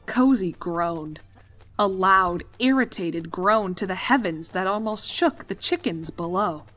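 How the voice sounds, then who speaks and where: A young woman narrates a story from a script. Her voice sits at a middle pitch, with music playing faintly behind her. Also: lively intonation, unhurried, fairly bright tone.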